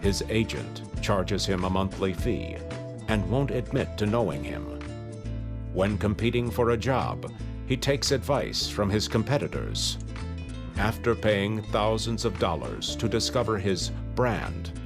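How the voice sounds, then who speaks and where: A man giving a mock-serious, deadpan sponsor parody as a sketch announcer. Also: on the low side.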